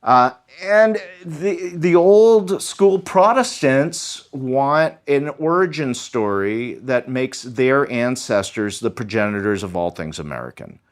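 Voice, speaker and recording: Lively-voiced male history professor. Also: medium pitch, takes it slowly, few pauses. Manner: lively, lecturing, with many fillers and restarts. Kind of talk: conversational explaining.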